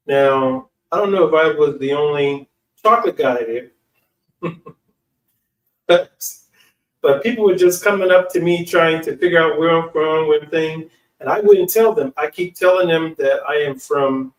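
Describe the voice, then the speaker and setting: American male, slightly high voice, informal and halting storyteller, recorded at a distance from the mic. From United States. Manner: informal, slangy, halting delivery. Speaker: online speaker and channel host. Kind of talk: casual personal storytelling. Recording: echoey room, distant from mic.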